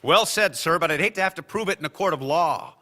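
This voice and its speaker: An actor playing a cautious lawyer in a radio drama, arguing his legal points in a measured way. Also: fairly high pitch, pushed and bright. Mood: persuasive. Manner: argumentative, lays out legal points.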